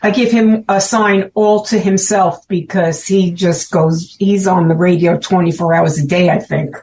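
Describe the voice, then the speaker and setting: A woman's voice: fairly low pitch, fairly even intonation, measured pace, darkish tone. A woman with a Brooklyn accent, chatting as a guest and often laughing as she explains things. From Brooklyn, New York. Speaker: guest, special event organizer. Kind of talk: casual chat.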